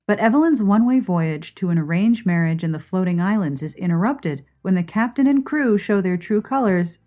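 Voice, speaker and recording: A woman's voice: lowish voice, darkish tone. A woman hosting a podcast, reading scripted intros and sponsor messages. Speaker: podcast host. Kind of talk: scripted podcast narration and ad reads.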